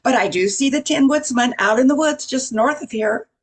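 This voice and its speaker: A woman playing an officious but helpful gatekeeper in an amateur radio play, theatrical and deliberate. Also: sits high, natural voice.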